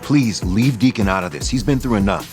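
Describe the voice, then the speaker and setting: Proud, defiant lover played by a man, very deep voice, soap drama with clear music underneath. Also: brisk, lightly acted. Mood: pleading. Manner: assertive, sardonic, dramatic. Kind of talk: audio soap opera acting.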